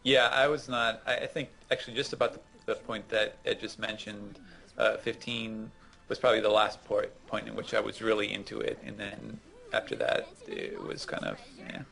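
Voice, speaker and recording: A man chatting casually about manga as a podcast co-host, in a hesitant, halting way. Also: lowish voice, expressive, vocal fry, sharp tone.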